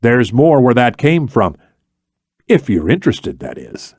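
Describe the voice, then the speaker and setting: A man's voice: medium pitch, sing-song, unhurried, a little mellow, husky, no echo, clean studio sound. A man voicing a cool, decisive male operative in an audiobook thriller, casual and matter-of-fact. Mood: casual, probing.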